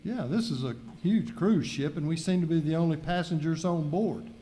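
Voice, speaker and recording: Male radio skit passenger and narrator, amateur performer, higher-pitched mellow voice, slight background noise. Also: normal speed, lightly acted. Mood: puzzled.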